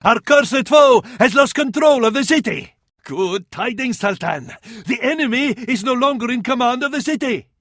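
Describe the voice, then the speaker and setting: An actor with an Arabic accent playing a fervent, scornful medieval advisor to a sultan in a video game, in a florid, declamatory style. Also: male voice, very high voice, expressive, moderate pace, fairly bright tone, full character voice. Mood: triumphant, devout. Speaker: battle advisor in a strategy game. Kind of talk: theatrical video game voice acting.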